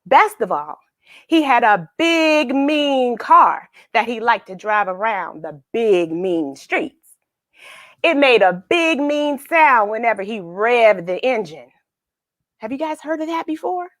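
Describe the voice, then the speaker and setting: A woman's voice: fairly high pitch, dry recording, clean studio sound. A woman hosting a children's read-aloud, narrating a storybook expressively with emphasis and questions to young listeners. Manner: expressive, emphatic, dramatic pauses.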